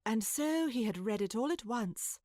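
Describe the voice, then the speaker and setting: A woman narrating a children's story from a script, with expressive, literary phrasing. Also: on the high side, normal speed, no room sound. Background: music.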